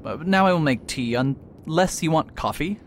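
A man acts out an aging, self-important detective for a radio play. His voice sits fairly high and he speaks haltingly and grandly, with a faint rumble behind him. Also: lively intonation, natural voice. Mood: reluctant. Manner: hesitant, pompous. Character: proud, aloof old retired detective doctor. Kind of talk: amateur radio play acting. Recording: faint rumbling background noise.

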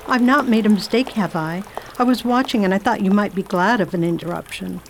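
A woman playing a self-assured, kindly lady traveller in radio theatre, speaking in a formal, deliberate and imperious way. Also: medium pitch, gravelly, slight background noise, natural voice. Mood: brisk, kindly. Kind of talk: radio theatre acting and diary narration.

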